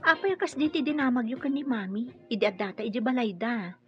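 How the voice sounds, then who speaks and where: An Ilocano-accented woman playing a loyal grown daughter in a radio drama, with a husky voice. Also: on the high side, brisk, highs cut off, faint music behind, natural voice. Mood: concerned.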